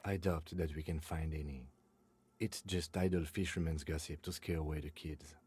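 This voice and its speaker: Husky, level police lieutenant. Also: male voice, very deep voice, steady tone, normal speed, a little mellow. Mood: calm. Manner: dry, level and skeptical. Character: calm, reserved male police lieutenant. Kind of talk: video game dialogue.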